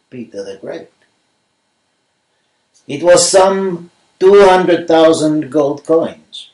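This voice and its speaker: A man's voice: medium pitch, a little mellow, recorded from a distance, lightly acted. A man voicing an earnest, formal president of a newly independent state in a radio play. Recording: roomy room echo.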